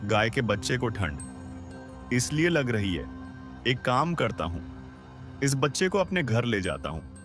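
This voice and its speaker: A man's voice: mid-range voice, very animated, unhurried, long pauses. A man voicing a carefree, stubborn village drunkard in a cartoon story, full of exclamations. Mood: musing, kindly. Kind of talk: Hindi cartoon moral story acting. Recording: loud music under the voice.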